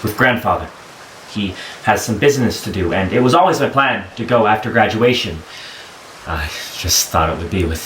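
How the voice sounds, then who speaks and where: A man playing a lovesick, impulsive young gentleman in an audio play, impassioned and dramatic. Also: on the low side, lively intonation, normal speed. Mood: wounded, resigned. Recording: roomy echo, faint background hiss.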